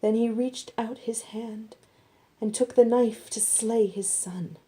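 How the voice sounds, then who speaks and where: A woman reading scripted narration as expressive Bible storytelling. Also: on the high side, slow pace.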